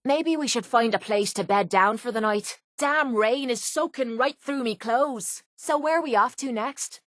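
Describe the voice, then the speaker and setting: A woman's voice: on the high side, a little slow, no room sound, clean studio sound, lightly acted. A woman playing a tough, cocky young brawler in a video game, with an Irish accent and a blunt, sardonic manner. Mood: casual, wry. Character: tough, brash, foul-mouthed young woman brawler and mercenary. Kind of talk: video game companion dialogue.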